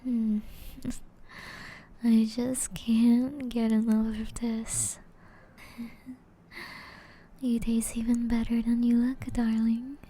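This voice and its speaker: A woman playing a flirtatious, seductive female vampire in an intimate ASMR roleplay, breathy and often whispering, with slow teasing endearments. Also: on the high side, flat delivery, mellow, a little hiss or hum. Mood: hungry, savoring.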